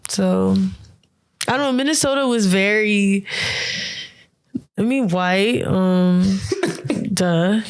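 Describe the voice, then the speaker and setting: A young woman chats as a podcast guest, slangy and laid back. She laughs easily between stories, at a middle pitch. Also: slow pace, long pauses, clear, resonant tone. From United States. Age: young adult. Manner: laughing, loose storytelling with slang. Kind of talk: casual chat.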